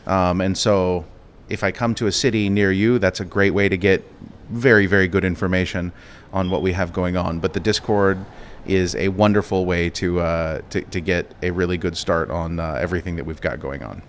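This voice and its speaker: A man answering interview questions at a conference in a relaxed, casual way, with the odd restart. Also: sits low, moderate pace, slight background noise. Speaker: interview guest, company executive. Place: crypto conference venue.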